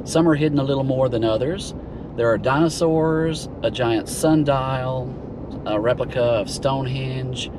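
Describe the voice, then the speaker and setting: A man, giving a relaxed, easygoing tour of roadside sights outdoors, recorded on a camera mic. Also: mid-range voice, measured pace, noisy background. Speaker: travel vlogger. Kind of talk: casual tour narration.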